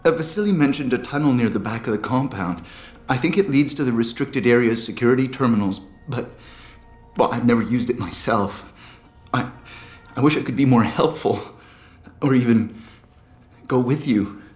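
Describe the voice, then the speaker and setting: Guy playing a nervous captive scientist in a game, stammery n timid, mid pitch, roomy echo. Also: animated, normal speed, a little mellow, natural voice. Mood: apologetic, anxious. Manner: hesitant, faltering, stammering. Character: anxious, captive male scientist, timid and apologetic. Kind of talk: video game cutscene dialogue. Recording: roomy game cutscene with music. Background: background music.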